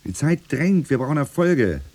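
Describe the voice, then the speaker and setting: An actor playing a gruff, impatient, dogmatic secret police superior in middle age, blunt and commanding, in a radio drama. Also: male voice, fairly high pitch, big pitch swings, normal speed, a little mellow. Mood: impatient, pressing. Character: gruff old-school Stasi superior, impatient, dogmatic, male.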